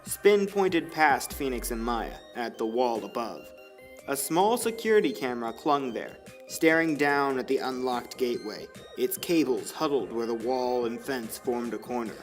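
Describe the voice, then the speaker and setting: A man's voice: medium pitch, lively intonation, normal speed. A man reading scripted story narration for an audio drama. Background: faint music.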